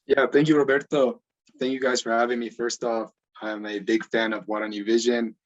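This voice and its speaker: A man's voice: medium pitch, level tone, moderate pace, lo-fi sound. A man from Ecuador who grew up in the United States, giving casual answers as a guest on a football podcast. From born in Ecuador, grew up in the United States. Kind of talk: casual interview talk.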